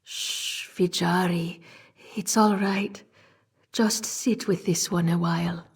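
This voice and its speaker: Tender, breathy cat-folk woman. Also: lowish voice, fairly even intonation, slow, exaggerated voice. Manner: hushed, tender. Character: gentle, soothing female Khajiit cat-folk. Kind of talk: scripted fantasy game dialogue.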